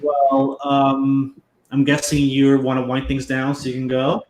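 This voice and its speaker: A man's voice: mid-range voice, monotone, unhurried, darkish tone. A man hosting a casual livestream chat, talking informally with lots of restarts and fillers. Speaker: host. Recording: roomy echo, faint noise.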